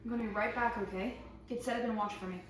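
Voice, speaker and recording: A woman's voice: fairly high pitch, fairly even intonation, fast talker, natural voice. A woman playing a teasing, protective older sister in a table read of a survival drama, speaking casually. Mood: reassuring. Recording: echoey room, distant mic, faint noise.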